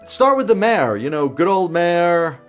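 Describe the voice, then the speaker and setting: High-pitched man explaining over music. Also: big pitch swings, unhurried. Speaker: tabletop roleplaying game advice host. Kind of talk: conversational explainer to camera. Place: small home office, indoors.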